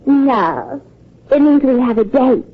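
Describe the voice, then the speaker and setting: High, lively American girlfriend. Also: takes it slowly, exaggerated voice. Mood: coaxing. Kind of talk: 1940s radio comedy acting. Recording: muffled vintage radio broadcast.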